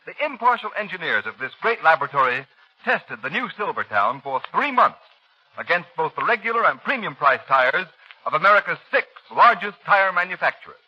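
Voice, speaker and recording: High, husky, animated male radio announcer. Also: brisk. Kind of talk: scripted 1930s radio advertising. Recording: muffled 1930s radio recording.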